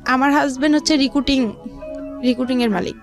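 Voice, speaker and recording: A young woman with a Bangladeshi accent speaks in a clearly high voice. She tells her own story as a studio guest, in colloquial, looping sentences that repeat themselves. Music plays behind her. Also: fast. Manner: rambling, colloquial, repeats phrases. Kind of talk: personal storytelling in a studio interview. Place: radio studio.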